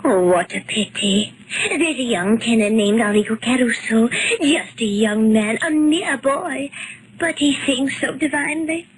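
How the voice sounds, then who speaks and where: High, fluttery genteel old spinster. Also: female voice, lively intonation, measured pace. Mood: wistful, gushing. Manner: fluttery, gushing, theatrical. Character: genteel, effusive spinster living in the 1890s. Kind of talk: 1940s radio acting. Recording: noisy 1940s radio recording.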